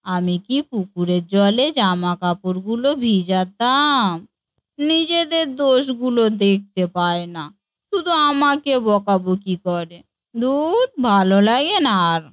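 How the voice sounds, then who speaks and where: A woman voicing a dim-witted, innocently stubborn young daughter-in-law in a comic cartoon, with a naive, whiny, drawn-out delivery. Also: medium pitch, animated, no echo. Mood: sulky.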